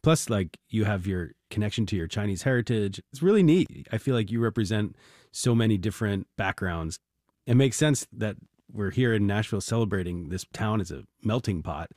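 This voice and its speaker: A man's voice: on the low side, lively intonation, normal speed, a little mellow, dry recording, studio quality. A man hosting a music show, interviewing young musicians and introducing guests. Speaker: radio music show host.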